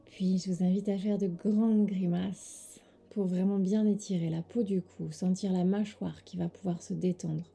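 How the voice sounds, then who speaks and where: Female meditation guide: soft, lowish, husky-breathy and creaky, very dark tone, soothing delivery with pauses over faint music. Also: steady tone. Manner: soothing, gentle instructions with pauses. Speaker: yoga nidra teacher.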